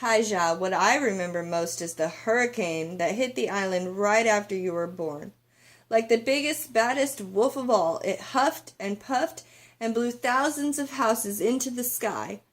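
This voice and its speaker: Storybook mom read by a woman: adult, warm, reassuring, vivid in the telling. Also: mid-range voice, measured pace, lightly acted. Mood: dramatic recollection.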